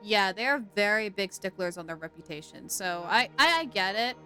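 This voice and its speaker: A woman chatting casually on a game livestream, reacting in an animated, exclamatory way. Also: medium pitch, moderate pace, rough recording. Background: game music.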